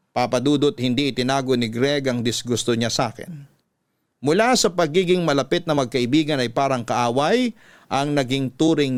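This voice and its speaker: A man's voice: mid-range voice, smooth, dry recording. A Filipino man narrating a scripted first-person life story as host, in a classic radio storytelling style.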